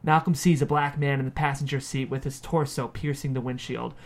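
A man's voice: medium pitch, fairly even intonation, a little fast. A man reading screenplay stage directions as scripted narration at a table read.

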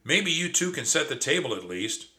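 A man doing a squeaky, boastful mouse cousin. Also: very animated, normal speed, full character voice. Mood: whiny. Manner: squeaky, loud, mocking. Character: brash greedy male mouse cousin, boastful and whiny.

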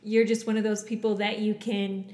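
A woman's voice: medium pitch, level tone, normal speed, darkish tone. A woman hosting a podcast interview, talking in an effusive, friendly manner. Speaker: podcast host. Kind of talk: casual interview. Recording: long reverb.

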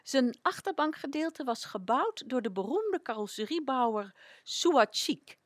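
A woman narrating a radio play in an expressive storytelling manner. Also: on the high side, no echo, clean studio sound.

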